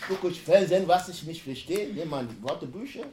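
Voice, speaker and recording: A man from Africa, speaking halting, broken German with many restarts as a panel speaker at a university event. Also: a little high, animated, darkish tone, a little hiss or hum. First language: not German. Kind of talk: panel discussion talk. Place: university lecture hall. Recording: roomy hall echo.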